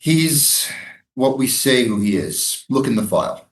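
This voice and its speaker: A man's voice: medium pitch, lively intonation, a little slow. A man in a staged play reading, playing a defensive, sardonic American military prison guard. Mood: terse, guarded. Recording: roomy, echoing live staged reading.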